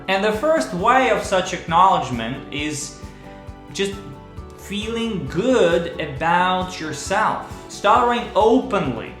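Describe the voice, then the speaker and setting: A man hosting a vlog as a speech coach, talking in a slow, deliberate and earnest way to his viewers. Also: sits high, a bit bright. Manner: slow, deliberate, earnest delivery with measured pauses. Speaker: speech coach and channel host. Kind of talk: direct-to-camera vlog talk. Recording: roomy echo with faint music underneath.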